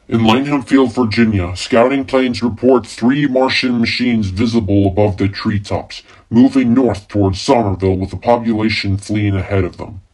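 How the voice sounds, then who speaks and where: Man acting as a low-voiced radio newsman, formal. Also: a little mellow, lightly acted, poor audio. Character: urgent male radio newsman reporting a Martian invasion. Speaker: radio news announcer. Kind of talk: 1930s radio news bulletin.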